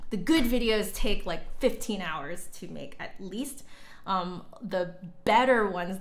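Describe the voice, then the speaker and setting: Slow-paced woman chatting on a livestream. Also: mid-range voice, a bit bright. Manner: candid, informal, conversational. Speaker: livestreamer and online flute teacher. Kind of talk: casual livestream chat with viewers.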